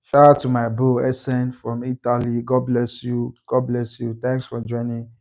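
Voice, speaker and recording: A man in his twenties hosting a live stream, thanking viewers and repeating shout-outs to the chat. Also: medium pitch, completely flat delivery, moderate pace, gravelly, low-quality recording. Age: 20s. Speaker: livestream host.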